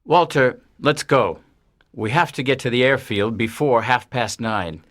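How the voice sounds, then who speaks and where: A woman voicing a male alien who poses as a schoolteacher, speaking in a deep, measured, stern way. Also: fairly bright tone, full character voice. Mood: calm, explaining.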